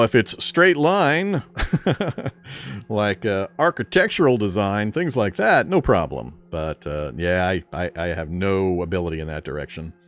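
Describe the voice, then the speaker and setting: A man streaming a video game, chatting casually and answering viewer questions with a fairly strong speaking voice. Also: low-pitched. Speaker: gaming streamer. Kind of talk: casual live-stream chat while gaming.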